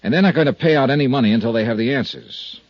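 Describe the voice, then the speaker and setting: An American actor playing a shrewd, tough insurance investigator in a 1950s radio drama, with a clipped, probing, coolly polite delivery. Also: male voice, mid-range voice, racing, dry recording, natural voice. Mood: firm. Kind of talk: 1950s radio detective acting, narration and dialogue. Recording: muffled 1950s radio recording.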